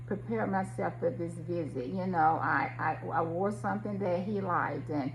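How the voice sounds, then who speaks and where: A middle-aged woman with a somewhat low voice shares a personal story as a vlogger. She is conversational and reflective, addressing listeners directly. A faint hum and noise sit behind her voice in the recording. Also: moderate pace, low-quality recording.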